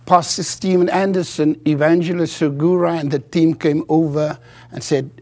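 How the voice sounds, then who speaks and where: A South African man preaching in a church, his first language Afrikaans. His voice sits high for a man, and he preaches earnestly, stopping and restarting mid-sentence. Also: fairly even intonation, moderate pace, darkish tone. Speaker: guest preacher. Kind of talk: sermon. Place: church, from the pulpit.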